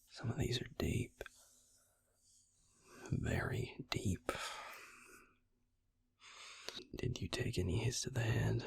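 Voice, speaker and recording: A man playing a gruff, caring vampire healer in an intimate roleplay audio, speaking in a hushed, breathy, gravelly murmur, blunt but tender. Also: fairly low pitch, exaggerated voice. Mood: worried. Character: gruff, caring adult male vampire healer, protective and exasperated.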